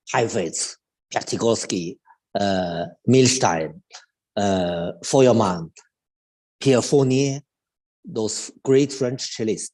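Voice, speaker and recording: A man in his fifties with a Chinese accent talks slowly and haltingly, stopping to restart his sentences. His voice has a husky, slightly breathy edge. He sounds like a cello teacher chatting live online, with a mid-range pitch. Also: mellow. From China. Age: 50s. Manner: halting, with many restarts and fillers.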